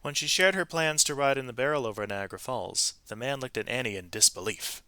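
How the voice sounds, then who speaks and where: Bright-voiced man reading a storybook aloud. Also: mid-range voice, a little fast, smooth, dry recording. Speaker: read-aloud narrator, teacher reading to students. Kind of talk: expressive storybook read-aloud with brief asides to listeners.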